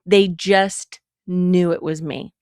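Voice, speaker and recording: Lively storytelling woman, dry sound. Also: lowish voice, slow, smooth, dry recording. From Utah, USA. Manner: lively, laughing storyteller who acts out her own past thoughts. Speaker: comedian guest. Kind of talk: personal storytelling in an interview.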